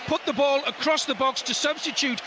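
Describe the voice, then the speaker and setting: A man's voice: very high-pitched, brisk. A man giving a live football match report from a stadium. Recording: outdoor stadium report, loud background noise. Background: stadium ambience.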